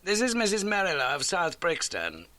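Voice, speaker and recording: A man voicing a brisk, courteous gentleman detective in an audiobook, questioning in precise, formal phrases. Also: high voice, huge pitch swings, dry recording, pitched above the performer's own voice, heavily acted. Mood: brisk, inquisitive. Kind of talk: audiobook character acting.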